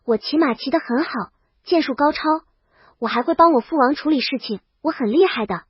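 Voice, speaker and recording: Woman playing storybook princess: young, proud, earnest, questioning, high voice, dull dry recording. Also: brisk, dry recording, natural voice. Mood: earnest, insistent. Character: proud, determined young princess eager to prove herself.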